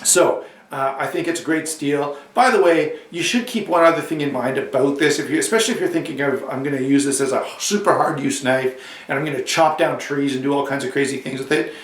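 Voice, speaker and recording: A man with a cold and a slightly hoarse voice, casually reviewing a knife to camera, rambling with restarts and asides. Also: mid-range voice, fairly quick, reverberant, recorded from a distance.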